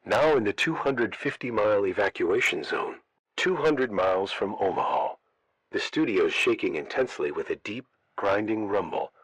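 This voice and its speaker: A man playing a radio broadcaster holed up during an outbreak, reading scripted emergency bulletins in a measured way. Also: fairly low pitch, fairly bright tone, slightly rough, dry recording, heavily acted, rough recording. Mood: grave, worried.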